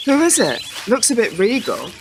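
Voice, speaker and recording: A woman's voice: on the high side, wildly swooping pitch, normal speed, background noise. A woman playing a curious, incredulous time traveller in a radio play. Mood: puzzled. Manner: inquisitive, incredulous.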